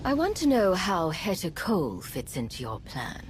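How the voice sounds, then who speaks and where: A cool female spy from a video game, speaking in a lowish voice with lively movement in it. She is calculating and persuasive, probing in a measured way. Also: a little hiss or hum. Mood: cool challenge. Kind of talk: dramatic video game acting.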